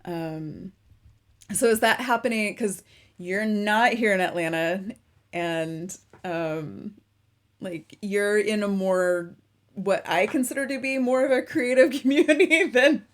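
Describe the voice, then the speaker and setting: A woman's voice: medium pitch, slow pace. A woman hosting a casual interview, chatty and easygoing, asking long rambling questions. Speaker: interview host.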